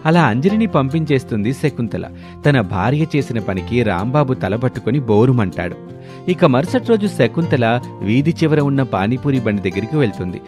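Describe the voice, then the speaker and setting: A man's voice: mid-range voice, very animated, normal speed, music in the background. A man narrating a scripted moral story as a storyteller.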